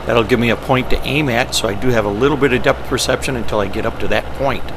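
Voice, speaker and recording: A man's voice: mid-range voice, fast. A man giving matter-of-fact, step-by-step instructional narration as a pilot, often in short clipped phrases. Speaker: pilot presenting ski flying. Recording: steady engine and vehicle noise.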